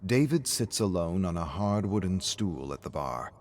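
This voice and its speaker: Male narrator, lowish voice, scripted dramatic storytelling, faint background noise. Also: big pitch swings, normal speed, a little mellow.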